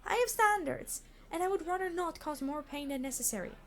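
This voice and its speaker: A woman playing a weary immortal supervillain in an ASMR roleplay, an exasperated boss who is gentle with hostages, speaking formally with dry sarcasm. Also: sits high, expressive, a little fast. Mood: indignant. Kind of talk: scripted ASMR roleplay acting.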